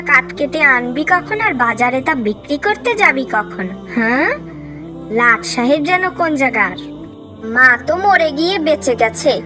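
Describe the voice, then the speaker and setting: A shrill, scolding cruel stepmother. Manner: nagging, sarcastic, harsh.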